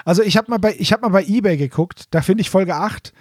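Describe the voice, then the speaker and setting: A man co-hosting a podcast in casual chat, often halting and repeating the starts of his phrases. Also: high voice, no room sound, studio quality. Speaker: podcast co-host. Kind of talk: casual chat about audio dramas.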